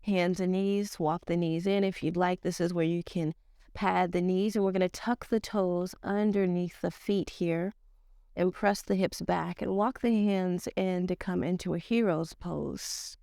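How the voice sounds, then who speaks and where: A woman with a stuffed-up, slightly nasal voice, leading a slow guided yoga class in a casual, slangy way. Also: fairly low pitch, gravelly, dry recording, studio quality. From Nigerian-American. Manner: casual slangy teacher, slow calm cues. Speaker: yoga teacher.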